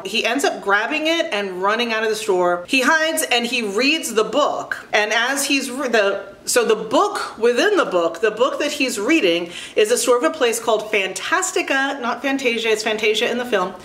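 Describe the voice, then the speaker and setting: A woman's voice: medium pitch, a bit bright, roomy sound. A middle-aged woman giving casual book recommendations in a chatty, enthusiastic, emphatic way, as a video host.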